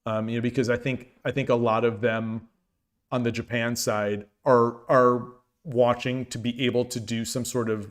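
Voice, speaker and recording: A man hosts a long live stream, rambling casually with frequent restarts and reading chat messages aloud. His voice sits in a middle register and has turned dry and hoarse from hours of talking. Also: mid-range voice, flat delivery, moderate pace. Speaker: shogi streamer.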